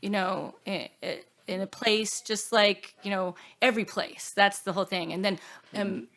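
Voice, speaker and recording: A woman songwriter talks as a guest in a reflective interview. Her voice sits at an ordinary middle pitch. She is earnest and halting, circling back and leaving phrases unfinished. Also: a bit bright. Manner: earnest, halting, with self-quotes and trailing phrases.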